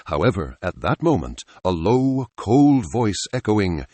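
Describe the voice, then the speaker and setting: Very animated male story narrator, husky. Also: on the low side, unhurried, no echo. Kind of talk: dramatic scripted story narration.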